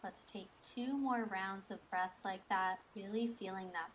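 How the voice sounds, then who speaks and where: Slow-paced woman guiding a meditation. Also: medium pitch, a bit bright, a little hiss or hum. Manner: soothing, measured, instructional. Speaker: meditation and breathwork instructor. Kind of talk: guided meditation.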